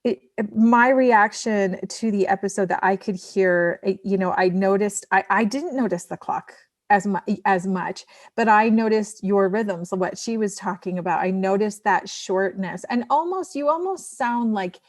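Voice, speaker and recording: A woman in a casual discussion, speaking in a halting, rambling and emphatic way as a podcast guest. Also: medium pitch, steady tone, no echo, clean studio sound.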